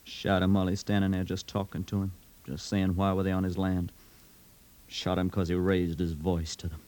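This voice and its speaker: A man plays a stubborn young farmer in a vintage radio drama. The voice is notably deep, with a rural Southern American accent, and the delivery is grim and blunt. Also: little pitch movement, moderate pace, darkish tone, natural voice. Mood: bitter. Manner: blunt, insistent. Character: grim, stubborn young Missouri farm man seeking revenge. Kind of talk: 1950s radio drama acting.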